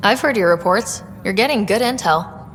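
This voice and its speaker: A woman playing a composed, supportive young space explorer in a video game, speaking in an earnest, measured way. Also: medium pitch, expressive, faint music behind, lightly acted. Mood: composed, businesslike. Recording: reverberant, faint background noise.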